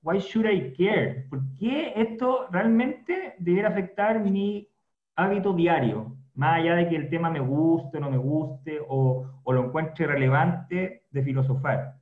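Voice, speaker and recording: A man's voice: on the high side, expressive, a little slow. A man with a Chilean accent hosting and moderating a casual chat with an upbeat, chatty manner. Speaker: host, moderator. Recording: roomy, dull lo-fi call audio.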